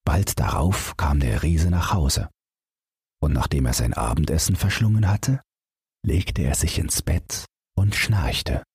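A man with a husky, gravelly voice reading a bedtime fairy tale as an audiobook narrator in a measured storytelling way. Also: extremely low pitch, lively intonation, a little mellow, no echo, studio quality.

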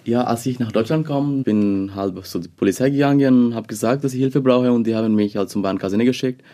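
A young man from Bangladesh, a second-language speaker, answering interview questions haltingly with many fillers. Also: mid-range voice, dark tone. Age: young adult.

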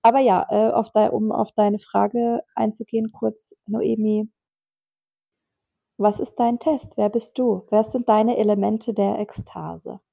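A woman's voice: medium pitch, little pitch movement, unhurried, creaky, dark tone, no echo, dull audio. A woman co-hosting a podcast with a stuffy, nasal voice from a cold, talking casually with dry irony. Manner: dry, ironic humor. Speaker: podcast co-host, dance teacher. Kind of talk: casual chat.

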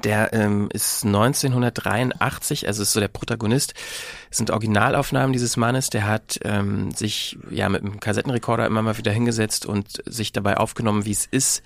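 A man's voice: lowish voice, fairly even intonation, a little fast, gravelly, few pauses, dry recording. A man explaining things in a casual discussion, feeling his way with self-corrections.

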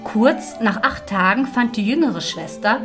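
A woman's voice: a little high, lively intonation, a little slow. Woman narrating a fairy tale over music.

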